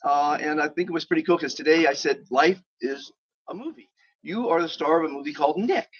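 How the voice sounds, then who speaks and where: A man's voice: a little high, brisk, slightly rough. Rambling upbeat man, lo-fi stream. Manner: rambling and upbeat, with many fillers and chuckles. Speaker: host interviewing a guest. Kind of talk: casual outdoor chat. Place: outdoors on a balcony overlooking volcanoes.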